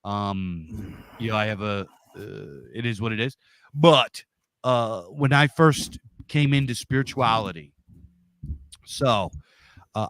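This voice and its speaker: Loud guy, 40s, big voice, dry close sound, just chatting as a guest. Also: medium pitch, dry recording. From Pennsylvania, USA. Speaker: interview guest. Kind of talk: casual storytelling.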